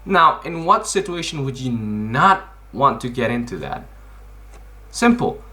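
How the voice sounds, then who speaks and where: A man hosts a casual explainer in a bright, punchy voice. His pitch moves around a great deal as he stresses his points. He is blunt and informal, posing questions and then answering them himself. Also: expressive, unhurried. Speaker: video host.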